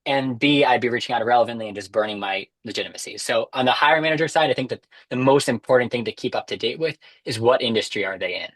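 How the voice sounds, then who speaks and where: Male, young adult, quick pace, mid-range, organized explainer, informal video-call delivery. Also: mid-range voice, a bit bright. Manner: structured, explanatory. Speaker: webinar guest, recruitment technology expert. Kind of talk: informal explanatory webinar talk. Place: indoors against a dark backdrop, on a video call. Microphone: wireless earbuds on a video call.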